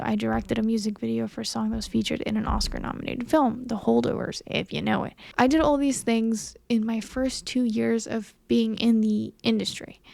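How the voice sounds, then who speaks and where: Girl in her early 20s vlogging, lots of vocal fry, chatty and earnest, close dry sound. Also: a little high, a little mellow, no echo. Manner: earnest, self-conscious, conversational. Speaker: vlogger, young filmmaker. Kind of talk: candid casual monologue to camera.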